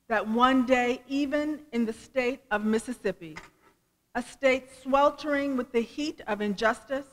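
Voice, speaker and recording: A woman's voice: on the high side, fairly bright tone. A woman giving a dramatic, solemn speech reading at a commemorative event. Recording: reverberant hall.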